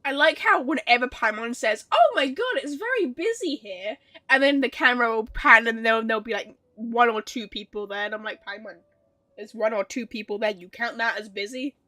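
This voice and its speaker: A woman's voice: a little high, fairly quick, sharp tone. A woman livestreaming a video game in casual, chatty, rambling and playful talk, with some loose swearing. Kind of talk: casual gaming stream chat.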